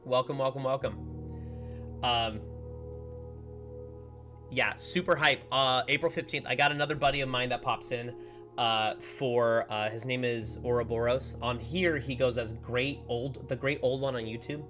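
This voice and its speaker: A man streaming a game in casual, rambling chat, slightly hoarse as he recovers from a lost voice. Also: mid-range voice, steady tone, moderate pace, music in the background. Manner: chatty, upbeat, rambling with many fillers. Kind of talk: casual livestream chat while playing.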